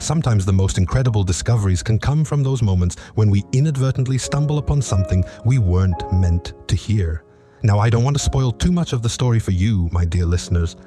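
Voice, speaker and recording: Male podcast host, low register, folksy scripted intro spoken straight to listeners, music underneath. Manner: folksy, addresses listeners directly.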